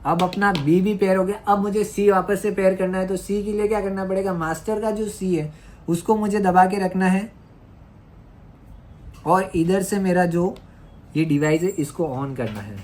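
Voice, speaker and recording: A man's voice: high-pitched, normal speed, a little mellow, poor audio. A man from India presenting a hands-on tutorial, explaining and repeating each step in an instructional way. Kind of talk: hands-on step-by-step product demonstration. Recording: clear background noise.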